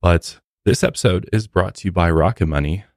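Very deep-voiced American male podcast host. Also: fairly quick, darkish tone, no room sound, studio quality. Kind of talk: casual podcast intro and chat. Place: podcast studio.

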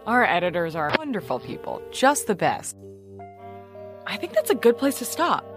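An actress playing a wry, guarded journalist in a naturalistic audio drama, speaking with dry, exasperated sarcasm. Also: female voice, medium pitch, very animated, moderate pace, over music, close to an everyday voice. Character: wry, guarded woman journalist and podcast co-host.

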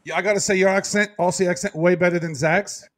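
A man's voice: high voice, fairly even intonation, fast. A man hosting a podcast, teasing and joking in casual banter. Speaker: podcast host.

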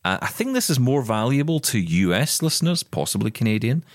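A man's voice: mid-range voice, very animated, brisk, no room sound, studio quality. A British man co-hosting a podcast, chatting enthusiastically about tech news with frequent restarts. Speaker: podcast co-host. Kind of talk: casual tech news chat.

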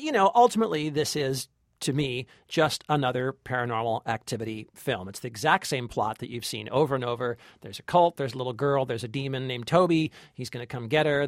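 A man's voice: medium pitch, fairly quick, no room sound, studio quality. A man co-hosting a film review podcast, chatting casually and giving his opinions with enthusiasm. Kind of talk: casual movie review chat.

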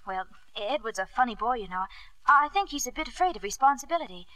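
An actress playing an earnest, vulnerable but proud young wife, hesitant and polite, in a 1950s radio drama. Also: female voice, on the high side, lively intonation, quick pace, sharp tone, no room sound, rough recording. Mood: nervous, polite. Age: young adult.

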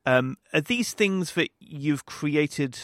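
A man's voice: on the high side, animated, takes it slowly, a bit bright, no echo, clean studio sound. A man hosting an interview, asking casual questions in a conversational way and often searching for words. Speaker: podcast host.

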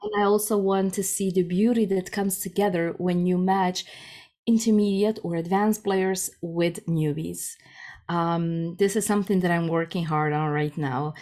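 A woman's voice: lowish voice, fairly even intonation, normal speed, mellow. A woman with a Croatian accent, a native Croatian speaker, chatting casually and giggly as an interview guest.

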